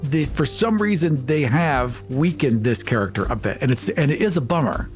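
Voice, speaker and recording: A man's voice: fairly high pitch, lively intonation, moderate pace, faint music behind. A man hosting a podcast, giving introductions and chatting with his fellow speakers. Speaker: podcast host, writer and producer.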